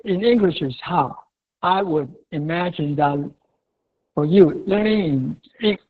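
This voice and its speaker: A lecturer from Taiwan whose first language is Mandarin gives a classroom lecture in English. They pause and restart often and close many points with a checking "okay?". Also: medium pitch, phone-quality sound. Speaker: university lecturer.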